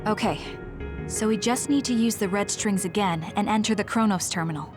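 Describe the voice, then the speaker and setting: A woman's voice: mid-range voice, brisk, lightly acted. A woman playing a cool, resolute young female fighter in an English game dub, with music behind her.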